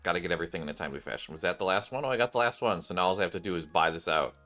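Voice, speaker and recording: A man doing casual game-stream commentary in an animated way, thinking aloud and sometimes reading on-screen text. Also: sits low, very fast. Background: game music.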